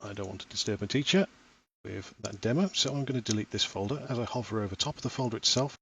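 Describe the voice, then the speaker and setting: Calm low-voiced father explaining software. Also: fast, gravelly, husky, no room sound. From Wales. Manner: patient, step-by-step explaining. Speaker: parent giving a tutorial. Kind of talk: screen-recorded software tutorial.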